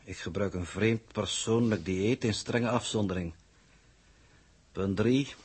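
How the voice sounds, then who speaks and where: An actor playing an older, enigmatic and coolly logical space coordinator in a 1960s Dutch radio drama, formal and measured. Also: male voice, on the low side, darkish tone, breathy edge, full character voice.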